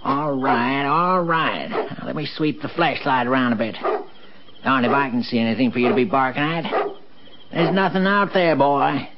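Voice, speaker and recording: A man's voice: mid-range voice, moderate pace, lightly acted. A man playing a folksy, rambling old country fellow in an old-time radio drama. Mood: folksy grumbling. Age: older. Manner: folksy, rambling, muttering to himself. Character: folksy old country man, grumbling but kindly dog owner. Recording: dull, noisy old radio recording.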